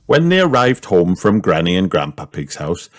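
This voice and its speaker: A man's voice: low-pitched, big pitch swings, normal speed. A man narrating a children's storybook aloud as an audiobook reader.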